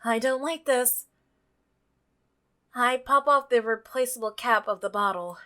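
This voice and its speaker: A woman's voice: fairly high pitch, unhurried, sharp tone. A woman reading the scripted first-person narration of an uneasy visual novel protagonist.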